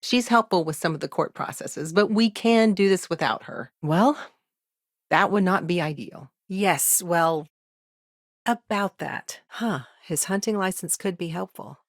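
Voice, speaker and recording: Weary video game lawyer, female, lowish voice, measured formal diction, dry wit, earnest, very dry studio sound. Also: no room sound. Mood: measured, businesslike. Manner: measured, articulate, formal phrasing with wry asides. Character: exhausted, dry-witted woman lawyer, earnest and principled. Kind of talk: naturalistic video game dialogue acting.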